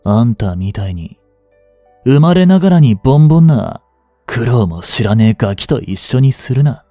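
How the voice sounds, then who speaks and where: Brash young male delinquent played by a man for audio drama: dark, mellow tone, swinging intonation, defiant and teasing. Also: medium pitch, sing-song, normal speed, dry recording, faint music behind. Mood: irritated, gruff. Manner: brash, slangy tough-guy talk, drawling. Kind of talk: Japanese audio drama acting, one-sided dialogue to the listener.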